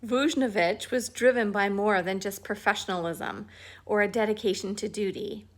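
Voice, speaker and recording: A woman reading a history book aloud at home, at an unhurried pace and with small restarts. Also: mid-range voice. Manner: firm, scolding talk to a pet between passages. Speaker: reader of a book.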